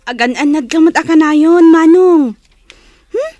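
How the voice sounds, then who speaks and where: A woman's voice: sits high, a little slow, warm tone, dry recording. A woman playing an affectionate, clingy young little sister with a Filipino accent in a radio drama.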